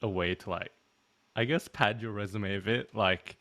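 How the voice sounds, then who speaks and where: A man's voice: lowish voice, moderate pace, sharp tone. A young man from Malaysia hosting a casual podcast interview, chatty and full of fillers. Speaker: podcast host.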